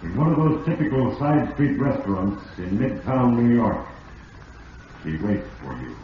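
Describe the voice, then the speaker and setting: A man playing Fate, a solemn and implacable radio drama narrator who speaks gravely and deliberately as he passes judgment. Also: mid-range voice, animated. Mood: solemn, ominous. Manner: grave, portentous, deliberate delivery. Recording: muffled, echoing old radio recording, distant, hissy.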